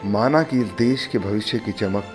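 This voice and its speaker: A man narrating a documentary in a solemn, measured way, opening with recited verse. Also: lowish voice. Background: background music.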